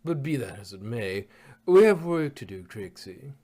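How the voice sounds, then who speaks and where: A man's voice: mid-range voice, very animated, normal speed, darkish tone. A man voicing a refined, gracious female pony dressmaker in a theatrical, genteel manner for a cartoon fan story. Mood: genteel, brisk. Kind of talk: amateur cartoon fan fiction reading.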